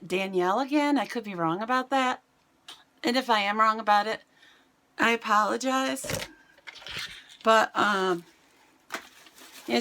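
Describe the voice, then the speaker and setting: A middle-aged American woman with a raspy voice, chatting casually to camera in a home vlog. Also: on the high side, a bit bright, slight background noise. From United States. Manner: chatty, rambling, self-mocking. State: raspy voice today. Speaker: vlogger. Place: home, indoors.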